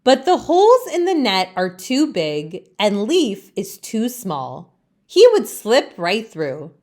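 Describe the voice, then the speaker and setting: A woman reading a children's storybook aloud as a storytime host, with expressive narration. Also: mid-range voice, slow.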